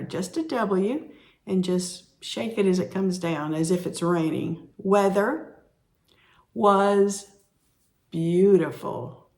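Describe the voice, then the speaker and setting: A woman teaching sign language, explaining each sign slowly and repeating the words as she demonstrates. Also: mid-range voice, creaky, darkish tone. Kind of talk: slow instructional explanation.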